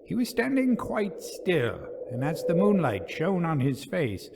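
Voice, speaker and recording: A man reading a literary ghost story as a storyteller, in measured, dramatic, formal narration. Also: a little high, wildly swooping pitch, vocal fry, noisy background.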